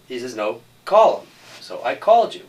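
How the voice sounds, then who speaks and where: A man's voice: medium pitch, sing-song, moderate pace. A man speaking insistently and explaining himself as a film director in an interview discussion. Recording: distant microphone.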